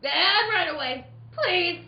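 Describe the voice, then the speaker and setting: A woman's voice: very high voice, lively intonation, takes it slowly, voice pushed up, exaggerated voice. A woman playing a nervous, urgent male client who stammers insistently on a call in an amateur old-time radio play. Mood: flustered pleading. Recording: echoey room, muffled.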